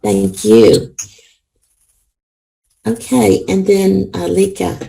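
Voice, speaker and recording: A woman's voice: mid-range voice, very animated, very slow, creaky, dark tone, rough recording. A woman professor leading an online class, calling on each participant and taking care to pronounce their names. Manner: careful with names, checks pronunciation. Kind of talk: calling on participants in a video meeting. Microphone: video call.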